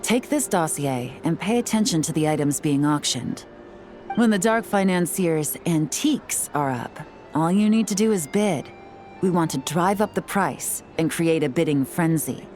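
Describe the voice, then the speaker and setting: An actress playing a cool, shrewd and composed operative in a video game, speaking with calm assurance. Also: female voice, deep voice, moderate pace, faint music behind. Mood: cool, calculating. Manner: calm, assured, smooth-talking.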